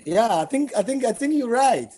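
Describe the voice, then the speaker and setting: A man giving casual interview answers, halting and repeating words, with easy laughter. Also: very high-pitched, sing-song, dark tone, slight background noise. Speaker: interview guest.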